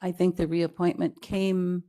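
A woman's voice: lowish voice, little pitch movement, unhurried, mellow. A woman speaking informally as a committee chair in a meeting, conversational and repeating words for emphasis. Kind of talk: informal spoken report in a meeting. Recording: public meeting room recording.

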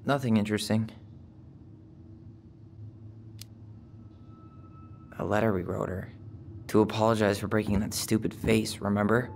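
A man's voice: on the low side, fairly even intonation, brisk, darkish tone, slight background noise, natural voice. An actor playing an easygoing, sensitive young American man in a video game, speaking casually and a little wistfully. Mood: casual, uncertain. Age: young adult. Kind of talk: naturalistic video game dialogue.

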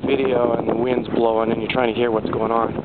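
A man talks casually into a handheld camera outdoors, with loud wind battering the microphone. The sound is thin and phone-like. His voice is mid-pitched, and he rambles along in an understated, hesitant way. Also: level tone, brisk. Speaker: amateur explorer filming a vlog. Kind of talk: casual first-person vlog narration. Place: outdoors on an exposed seaside catwalk. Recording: tinny handheld camera mic, heavy wind noise.

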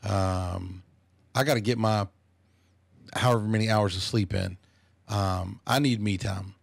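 A man in his fifties talking reflectively as a podcast guest, thoughtful and measured, with many restarts. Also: lowish voice, fairly even intonation, vocal fry, slightly rough, no echo. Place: small podcast studio. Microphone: studio microphone on a boom arm.

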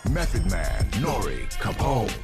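An actor playing a menacing, boastful crime boss in a video game, with a commanding, threatening delivery. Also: male voice, huge pitch swings, unhurried. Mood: boastful menace. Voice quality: deep and gravelly. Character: menacing, boastful crime boss who runs underground fights. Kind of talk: scripted video game cutscene acting. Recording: game audio under loud music.

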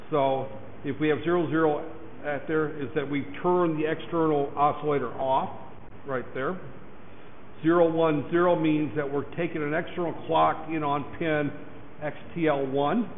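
A hoarse man lecturing a class, rambling and repeating "right there" and "that there". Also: fairly high pitch. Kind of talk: recorded classroom lecture over slides. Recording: echoey lecture hall, tinny lo-fi mic with faint noise.

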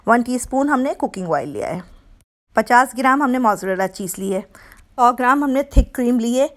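A woman hosting her cooking channel, explaining a home recipe step by step in a homely, repetitive way with frequent self-corrections. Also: on the high side. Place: home kitchen.

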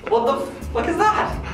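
A man's voice: extremely high pitch, far from the mic. Teenage boy, joking, blunt, echoey room, music underneath. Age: teens. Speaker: interviewee. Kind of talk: casual interview.

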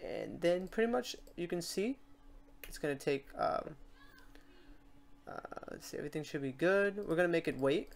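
A tutorial host walking through software steps in an informal way, thinking aloud while demonstrating. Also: medium pitch, animated, normal speed, gravelly, pauses often. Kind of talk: casual screen-recorded software tutorial.

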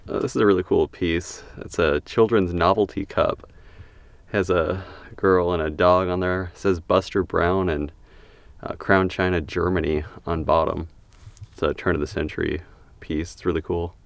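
Low gravelly man narrating a dig. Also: moderate pace, no echo, recorded from a distance. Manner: calm, matter-of-fact, reading out finds. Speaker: bottle digger. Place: outdoors at an excavation pit.

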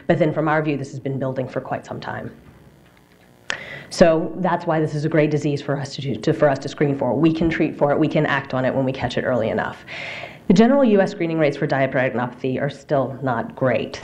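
Woman doctor, low voice, quick conference lecture at a lectern mic, fillers and self-corrections. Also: darkish tone. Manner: fast, explanatory, with frequent fillers and restarts. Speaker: physician presenter. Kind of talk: conference slide presentation. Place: conference room.

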